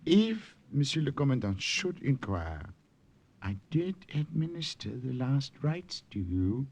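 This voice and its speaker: An actor playing a kindly, sly French village priest in a radio drama, with a French accent and a courteous, hesitant manner. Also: male voice, medium pitch, sing-song, measured pace, a little mellow, breathy edge, heavily acted. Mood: warm, sly. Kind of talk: 1950s radio drama acting. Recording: muffled old radio recording.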